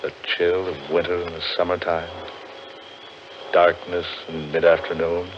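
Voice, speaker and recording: Foreboding low-voiced man, lost in haunted woods, ominous radio horror delivery, hissy vintage recording. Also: little pitch movement. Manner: ominous, theatrical, deliberate. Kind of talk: 1940s radio horror acting. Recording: muffled, noisy 1940s radio recording.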